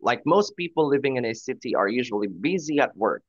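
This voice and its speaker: A man's voice: medium pitch, very animated, a little fast, no room sound, dull audio. A man tutoring English one-on-one, patiently offering example phrases and encouragement. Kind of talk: one-on-one conversation lesson.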